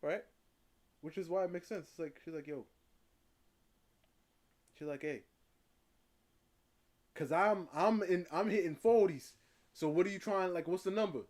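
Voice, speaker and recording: A man's voice: sits high, a little slow, long pauses, a little mellow. A man giving casual commentary in a slangy, rambling, emphatic way. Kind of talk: casual reactive commentary in chat.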